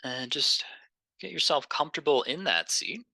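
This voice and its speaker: Gravelly-edged man guiding a meditation, very crisp. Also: fairly high pitch, lively intonation, dry recording. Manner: gentle, unhurried, pausing between phrases. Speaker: meditation guide. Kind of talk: guided meditation, slow and conversational.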